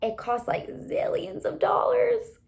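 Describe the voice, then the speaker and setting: A woman's voice: extremely high pitch, big pitch swings, slow pace, gravelly, darkish tone, pitched above the performer's own voice, full character voice. A woman voicing a teasing, gossipy teenage schoolboy in a storybook, slangy and exaggerated.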